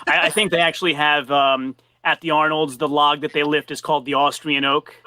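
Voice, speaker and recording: Male interviewer, slightly higher voice, curious and encouraging, dry close sound. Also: little pitch movement, brisk, bright, forceful tone, no room sound. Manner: friendly, curious, lots of affirmations. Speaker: podcast host, interviewer. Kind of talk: casual interview over a video call.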